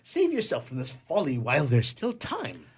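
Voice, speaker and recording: Man acting a cynical jilted gentleman, swooping and husky. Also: mid-range voice, wildly swooping pitch, moderate pace. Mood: dry, resigned. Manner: sarcastic, declamatory, emphatic. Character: jilted, cynical, sneering man of the world, scandalized and biting. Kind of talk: theatrical stage comedy acting.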